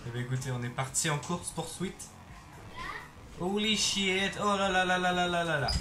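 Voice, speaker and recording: A young man chatting casually over his gameplay on a headset mic, excitable and throwing in English exclamations. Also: sits high, moderate pace, noisy background. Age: young adult. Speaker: gaming YouTuber. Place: bedroom. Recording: roomy sound with game music behind.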